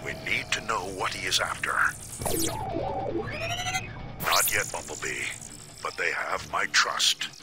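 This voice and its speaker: Man playing a deep, solemn robot commander. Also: natural voice. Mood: calm command. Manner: grave, measured, commanding. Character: noble, solemn giant robot commander of heroic rebels. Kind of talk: video game cutscene acting. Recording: loud music behind the voice.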